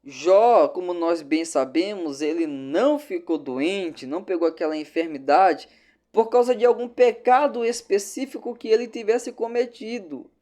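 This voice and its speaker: A man's voice: high-pitched, normal speed, darkish tone. A man leads a Sunday school Bible lesson. He speaks with emphasis, circling back to key phrases, and addresses the listener directly as a teacher.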